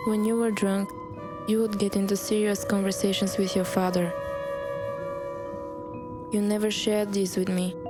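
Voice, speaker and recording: Flat-toned, hurt grown daughter voiced by a woman. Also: mid-range voice, measured pace, pauses often, over music, close to an everyday voice. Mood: quiet hurt. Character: grown daughter left out by her drinking father. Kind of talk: scripted animated documentary narration.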